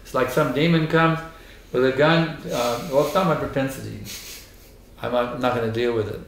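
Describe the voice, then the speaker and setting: Hoarse man, spiritual teacher, emphatic lecture, mid-pitched voice, roomy echoing hall. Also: fairly quick, slight background noise. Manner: emphatic, insistent, repeats his points. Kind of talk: temple lecture with questions and answers. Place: temple hall. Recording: echoey hall.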